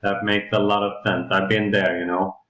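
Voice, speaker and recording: Swedish man presenting a coaching call, halting and casual, roomy echo. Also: lowish voice, flat delivery, fairly bright tone. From Sweden. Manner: hesitant, many fillers, tags "yeah?". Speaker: co-host of a coaching webinar.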